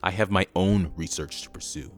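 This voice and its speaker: Man playing a very deep-voiced, domineering villain. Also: moderate pace, soft background music, close to an everyday voice. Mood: curt, intent. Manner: curt, commanding. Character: domineering, impatient male villain with ruthless ambition. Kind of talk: audio drama acting.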